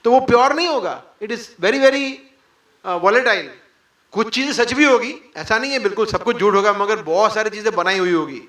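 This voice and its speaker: A man's voice: high-pitched, normal speed, a bit bright, echoey room. A man leading an interactive spiritual class before a live audience, firing rhetorical questions and mock quotes, with English words mixed into his talk. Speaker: devotional class speaker.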